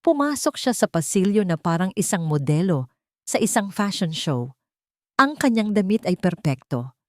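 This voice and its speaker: A woman narrating a scripted audio drama in a measured, dramatic storytelling manner. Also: fairly low pitch, no room sound, clean studio sound.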